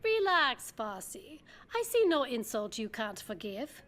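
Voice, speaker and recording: A woman's voice: on the high side, sing-song, a little slow, noisy background. An actress playing a bold, flirtatious lady gambler in an audio spy game, with a playful, teasing manner. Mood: teasing defiance.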